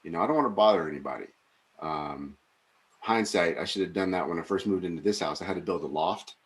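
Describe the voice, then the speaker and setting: A man in his forties co-hosting a podcast, talking casually and reflectively, with many pauses and fillers. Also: low-pitched. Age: 40s. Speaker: podcast co-host. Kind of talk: casual conversation.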